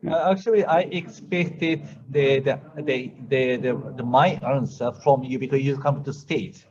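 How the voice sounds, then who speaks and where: A man asking the panel a question in a halting way, repeating words as he searches for them. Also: mid-range voice, crowd noise. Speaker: audience questioner. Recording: dull lo-fi sound.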